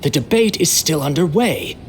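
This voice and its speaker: A woman's voice: sits low, expressive, moderate pace. A woman playing a stern debater in a video game, with faint noise behind the voice.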